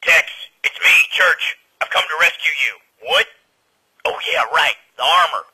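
A man's voice: a little high, moderate pace, sharp tone, husky, heavily acted, poor audio. A man voicing an irritable, sarcastic young soldier who has become a ghost, in conversational, exasperated cartoon comedy dialogue. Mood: urgent, explaining.

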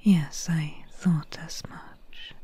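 Woman playing a whispering, very slow swamp witch. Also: low voice, fairly even intonation, full character voice. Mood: hushed, knowing. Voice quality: whispery, breathy. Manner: slow, hushed, unhurried. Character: calm, mysterious female swamp witch healer. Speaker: ASMR roleplay performer. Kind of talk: soft-spoken ASMR roleplay. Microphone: close mic.

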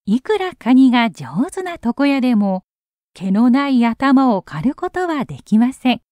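Slow, expressive woman storyteller. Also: high-pitched, no room sound. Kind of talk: expressive children's story narration.

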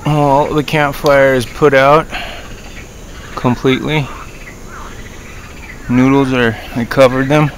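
A man's voice: medium pitch, little pitch movement, takes it slowly, pauses often. A man in his thirties casually narrating as he cooks fish over a campfire by a river, chatting to his viewers while he works. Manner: easygoing, talks to viewers while working. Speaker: outdoor vlogger. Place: outdoors by a riverbank. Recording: noisy outdoor camera audio. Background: outdoor ambience, frogs.

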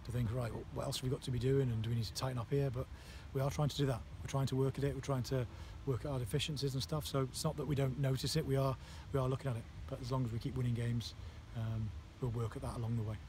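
Man, 30s, football manager, flat delivery, halting speech, outdoor noise behind. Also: medium pitch. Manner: halting, many fillers and restarts. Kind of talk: post-match interview. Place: outdoors on a floodlit football pitch at night.

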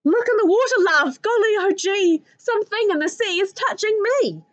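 Frantic storybook mother played by a woman, New Zealand accent, shrill raised pitch, full alarm. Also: lively intonation, normal speed. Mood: panicked. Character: startled mother screeching in panic.

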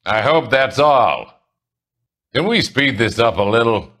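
An actor playing a condescending middle-aged American property tycoon in a 1940s crime video game, smooth-talking and self-assured. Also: male voice, medium pitch, sing-song, a little slow, fairly bright tone, exaggerated voice. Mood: brusque dismissal.

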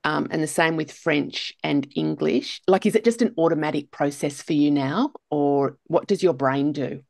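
A woman's voice: sits low, normal speed, vocal fry, a little mellow, no echo, clean studio sound. A middle-aged Australian woman hosting a podcast over a video call, chatty and encouraging as she asks questions. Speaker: podcast host. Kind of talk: casual interview chat. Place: home room, video call.

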